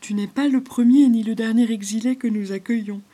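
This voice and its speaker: A sympathetic beaver, female and earnest, speaks up loudly and warmly to a newcomer, as a woman voices her in a storybook. The tone is a little raised, with a husky, mellow grain. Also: a little high, fairly even intonation, fairly quick, pitched above the performer's own voice.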